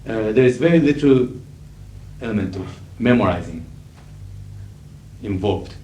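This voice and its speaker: A middle-aged man with a Japanese accent, a native Japanese speaker, lecturing as an interpreter in a measured, emphatic way with frequent fillers. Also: lowish voice, lively intonation, warm tone, lo-fi sound. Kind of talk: lecture to an audience. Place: lecture hall. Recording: echoey 1980s hall recording with faint hiss.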